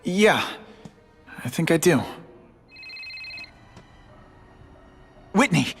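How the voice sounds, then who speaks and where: An actor playing a young, earnest, quippy superhero in a video game, talking casually and wryly. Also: male voice, fairly high pitch, animated, unhurried, a bit bright, faint music behind. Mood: curious. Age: young adult. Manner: casual, wry, slightly hesitant. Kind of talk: naturalistic video game acting.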